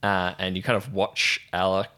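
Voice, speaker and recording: A man narrating a tabletop roleplaying game as game master, telling the story suspensefully in the second person with deliberate pauses. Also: low-pitched, level tone, sharp tone. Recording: prominent music under the voice. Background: music.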